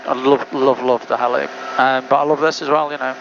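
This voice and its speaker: Dull intercom sound, steady engine noise, male pilot, mid-pitched voice, casual talk, headset mic. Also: a little fast, gravelly. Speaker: private pilot presenting own flight. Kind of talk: casual cockpit chat and radio calls. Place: small aircraft cockpit in flight. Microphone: aviation headset. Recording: muffled noisy light-aircraft headset intercom. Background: engine and cabin noise.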